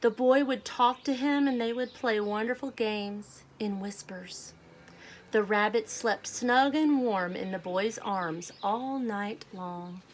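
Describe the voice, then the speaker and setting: A woman reading a storybook aloud to children, speaking in a deliberate, simple way. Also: mid-range voice, slight background noise. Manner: deliberate, simple phrasing addressed to young children. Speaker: children's read-aloud host.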